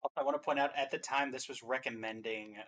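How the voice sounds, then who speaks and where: A man's voice: mid-range voice, steady tone, fast talker, a bit bright, faint music behind, low-quality recording. A man hosting a casual reaction show, explaining game trailers to his audience.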